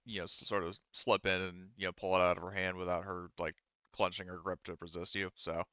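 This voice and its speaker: Give a man with a smooth, clean voice as game master. He narrates casually and hesitantly, feeling his way through explanations. The recording is dry and a little dull. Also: low voice, little pitch movement, a little fast, pushed and bright, no echo. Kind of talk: casual improvised narration of a tabletop roleplaying game.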